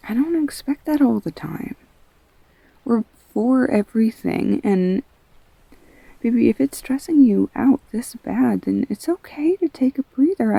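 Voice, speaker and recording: Comforting girlfriend, played by a woman, intimate audio drama: unhurried, breathy, soft-spoken, halting and reassuring. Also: medium pitch, dry recording, exaggerated voice, low-quality recording. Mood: concerned, earnest. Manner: soft, halting, soothing, often near whispering. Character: tender, reassuring girlfriend comforting an upset partner.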